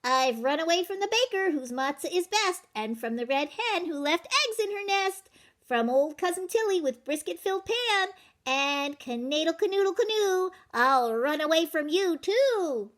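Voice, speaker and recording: A woman's voice: extremely high pitch, expressive, normal speed, a bit bright, pitched above the performer's own voice. A woman voicing a cocky, clever little matzah man in a children's story, chanting boastful rhymes in a teasing sing-song.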